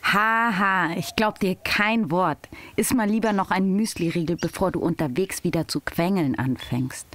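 An actress playing a sensible young female hiker, brisk, bossy and teasing, in an audio drama. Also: on the low side, a bit bright, lightly acted.

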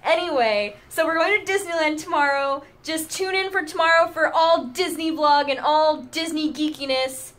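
Bubbly high-voiced young woman vlogger. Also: normal speed, few pauses. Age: young adult. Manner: bubbly, giggly, excitable. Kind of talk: casual vlog chat. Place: car, then small hotel room. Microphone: phone held at arm's length.

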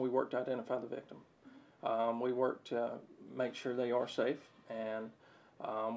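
Flat, gravelly man in his thirties. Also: mid-range voice. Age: 30s. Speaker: police detective. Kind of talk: interview answers, official explanation. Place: small office.

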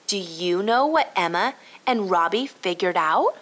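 Expressive woman reading a children's storybook. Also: medium pitch, measured pace. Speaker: narrator. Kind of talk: scripted children's storybook narration.

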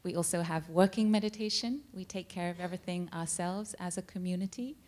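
A man, a monk, calmly answering audience questions at a cinema Q&A, unhurried and with frequent pauses and fillers. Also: high voice. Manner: calm, unhurried, with many ums and uhs. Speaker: monk at a practice center. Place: cinema stage at a Q&A. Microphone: handheld mic.

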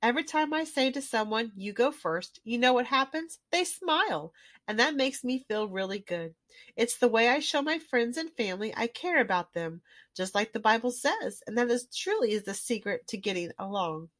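Animated woman reading a children's storybook. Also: a little high, normal speed, dry recording. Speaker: children's book reader. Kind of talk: scripted storybook read-aloud.

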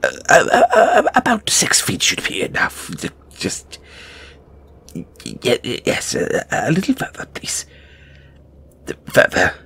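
Male actor as timid gargoyle, very breathy and husky, halting slow delivery, stammering, overly polite, audio roleplay. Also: medium pitch, wildly swooping pitch, fairly bright tone, exaggerated voice. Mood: flustered, disgusted. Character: squeamish, timid, formal male gargoyle handler.

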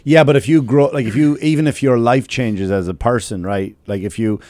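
Male comedian, Irish accent, quick and sweary, emphatic podcast chat, dry close-mic sound. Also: medium pitch, a little mellow, no room sound. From Ireland. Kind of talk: casual podcast storytelling. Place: small podcast studio. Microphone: close studio microphone with headphones.

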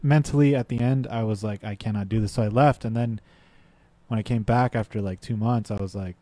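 A man chatting on a podcast as a band guest, telling stories in a lively way and acting out what people said, at a desk mic in a small studio. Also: lowish voice, a little fast, no room sound. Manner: animated storytelling, acts out quotes. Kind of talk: casual podcast chat. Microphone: desk mic with headphones.

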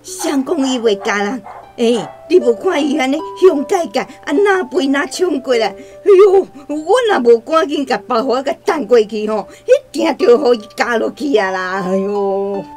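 Radio drama elderly Taiwanese grandmother: stubborn, plaintive, fretful, fairly high voice. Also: lively intonation, normal speed, darkish tone, soft background music. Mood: frightened, indignant. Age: older. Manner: plaintive, exclaiming, complaining. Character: stubborn, anxious, grumbling old Taiwanese grandmother living alone.

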